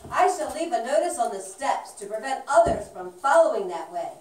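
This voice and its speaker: A woman plays an earnest little puppet mouse with a very high, sing-song voice that swoops up and down. It is heard from a distance. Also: sing-song, normal speed, darkish tone. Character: earnest little mouse traveler, careful of others. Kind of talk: children's puppet show acting. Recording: echoey room, far from microphone.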